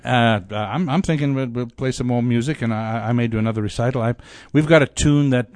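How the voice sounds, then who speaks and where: A man's voice: mid-range voice, a little fast, no echo, clean studio sound. A man hosting a community radio show, chatting casually on air. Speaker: radio show host and storyteller. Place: radio studio.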